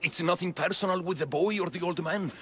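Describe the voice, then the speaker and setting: An actor playing a nervous, self-serving male henchman in a video game cutscene, halting and bargaining. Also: high-pitched, fairly bright tone, a little hiss or hum. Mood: nervous, evasive.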